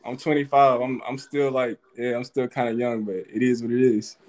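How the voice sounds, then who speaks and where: A man's voice: medium pitch, flat delivery, moderate pace, a little mellow. A man in his twenties from Texas, talking in a slangy, laid-back way on a live video call. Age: 20s. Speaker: caller. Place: small indoor room. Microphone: video call, phone or laptop.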